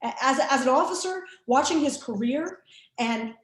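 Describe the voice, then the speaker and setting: Higher-voiced woman journalist, echoey room. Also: lively intonation. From Canada. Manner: candid, quick, with many restarts and fillers. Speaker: journalist guest. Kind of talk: interview answers.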